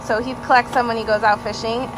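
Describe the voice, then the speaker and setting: A chatty woman with a fairly high voice narrates her backyard project while holding the phone camera outdoors. Her tone is upbeat and casual, and a music bed plays under her. Sounds of the open yard come through. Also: flat delivery, quick pace, low-quality recording. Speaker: vlogger. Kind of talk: casual home vlog narration. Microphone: handheld phone camera.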